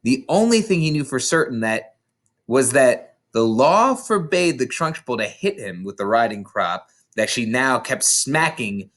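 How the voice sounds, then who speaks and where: A storybook reader narrating a children's book in an expressive, dramatic, theatrical way. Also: mid-range voice.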